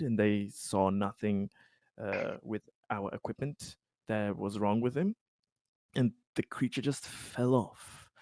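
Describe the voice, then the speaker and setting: A man playing a tabletop roleplaying game, talking casually and haltingly, often repeating his words. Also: lowish voice, darkish tone, no echo. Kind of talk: casual in-character and out-of-character game talk.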